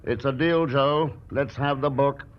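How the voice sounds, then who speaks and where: An actor playing a resolute adventurer, wry and unflappable, in an old-time radio drama. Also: male voice, mid-range voice, fairly even intonation, measured pace, close to an everyday voice. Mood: cool, firm. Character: cool, resolute male adventurer hero, wry. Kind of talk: 1940s radio drama acting. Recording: muffled, noisy old radio recording.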